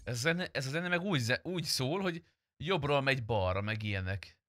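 Male game streamer, bright and dry-sounding, casual blunt chat with plenty of swearing. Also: medium pitch, expressive, no room sound. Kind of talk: casual gameplay chat and roleplay commentary.

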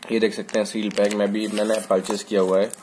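A man chatting informally through a product review, his voice low and fairly even with little rise and fall. He hesitates often and restarts his phrases. A faint hiss of room noise sits behind him. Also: quick pace. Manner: informal, fillers and restarts, mixes English words. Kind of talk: casual product review vlog. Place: indoors, hands handling bottle on a table.

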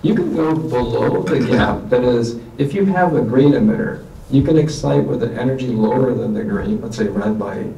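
Male scientist panelist, gravelly tone, explanatory, distant echoey room mic. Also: medium pitch, moderate pace, few pauses, warm tone, slight background noise. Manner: explanatory, uses analogies. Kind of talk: answering audience questions. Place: lecture room with audience.

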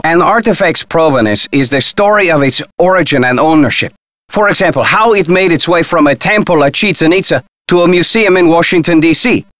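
A man's voice: on the high side, fairly bright tone, no room sound. A man playing a proud, indignant diplomat in a video game, speaking formally, precisely and emphatically. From Mexico. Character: proud, indignant Mexican male diplomat, protective of heritage. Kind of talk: scripted video game dialogue.

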